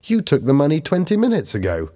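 A man's voice: a little high, wildly swooping pitch, fast talker, warm tone, pitched above the performer's own voice. A man voicing an exasperated, sarcastic teenage girl in a story, challenging and exclaiming. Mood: indignant.

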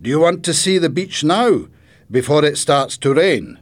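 Guy doing a kindly old grandpa for a storybook, proud, bit sad, emphatic n deliberate, pitch moves around a lot. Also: big pitch swings. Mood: friendly, informative. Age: older.

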